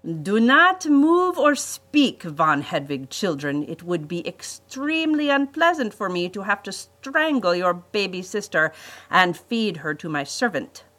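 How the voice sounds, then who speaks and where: A woman voicing a pompous, menacing male villain, a vain botanist, in a storybook reading with slow, emphatic delivery. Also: mid-range voice, sing-song, a bit bright.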